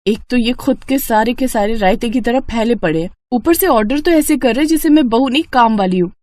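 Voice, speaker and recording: A woman voicing a young daughter-in-law, polite but exasperated underneath, in an animated family story. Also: on the high side, fairly quick, no echo, studio quality, voice pushed up, natural voice. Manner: polite aloud, grumbling inwardly.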